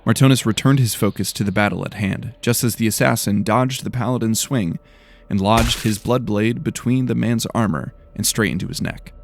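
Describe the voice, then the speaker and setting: Low, creaky-voiced male fantasy narrator. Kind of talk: dramatic scripted fantasy storytelling.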